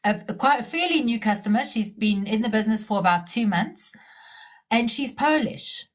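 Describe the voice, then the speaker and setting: A woman, a digital marketer, talking bluntly and openly in a relaxed conversation over a video call. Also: a little high, moderate pace. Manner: blunt and outspoken. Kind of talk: casual conversation.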